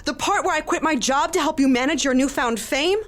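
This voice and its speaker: An actress playing a sharp-tongued, middle-aged professional woman with wounded pride in an audio drama. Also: high voice, a little fast, natural voice. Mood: indignant. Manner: sardonic, articulate. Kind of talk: audio drama acting and first-person narration.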